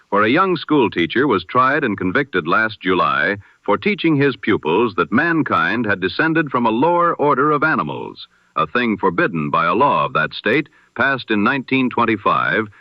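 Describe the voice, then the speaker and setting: A man reading the day's news as a formal, measured 1920s radio bulletin. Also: on the low side, no room sound. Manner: formal, measured, rhetorical delivery. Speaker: newsreader. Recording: dull lo-fi radio-style sound.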